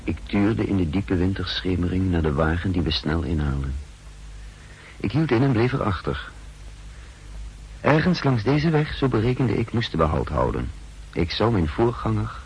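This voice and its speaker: An actor playing a wary, contemptuous secret agent who narrates his mission in a measured, literary way in a 1960s radio drama. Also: low-pitched, lively intonation, darkish tone. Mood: tense, scornful. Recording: noisy 1960s radio recording.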